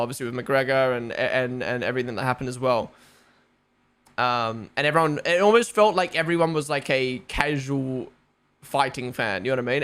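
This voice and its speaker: A man's voice: mid-range voice, fairly bright tone, clear, resonant tone. A young man with a New Zealand accent, rambling casually as a live stream host, full of fillers and swearing. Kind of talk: casual live watch-along chat.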